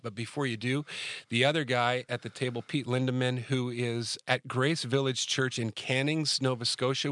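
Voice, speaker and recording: A man hosting a round-table podcast as a pastor, talking in a loose, chatty way and fixing his numbers as he goes. His voice is bright and forward. The sound is dry, with little room around it. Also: mid-range voice, no room sound. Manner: relaxed, chatty, self-correcting host. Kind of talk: casual round-table chat.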